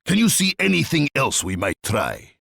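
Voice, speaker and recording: Casting: bloodthirsty warlord tsar for a strategy game; husky, pompous and sneering, with wildly swinging pitch. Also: male voice, wildly swooping pitch, normal speed, a bit bright, no room sound, studio quality, exaggerated voice. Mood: grim, resigned. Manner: pompous, menacing, declamatory.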